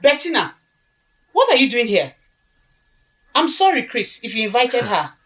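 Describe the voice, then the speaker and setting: A woman's voice: fairly high pitch, very animated, unhurried, fairly bright tone, distant mic. A woman acting a polite, socially commanding mother of a groom in an audio drama. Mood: indignant, apologetic.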